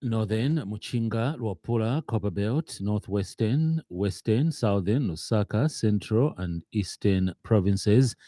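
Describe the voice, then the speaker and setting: A man with a Zambian accent reading a scripted radio news bulletin in a formal, somewhat halting manner. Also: lowish voice, animated, warm tone, no echo. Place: radio studio.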